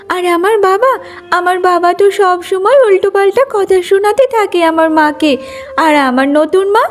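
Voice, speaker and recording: A woman's voice: very high-pitched, voice pushed up. A woman voicing a sorrowful, mistreated young girl with a plaintive manner in a cartoon moral tale.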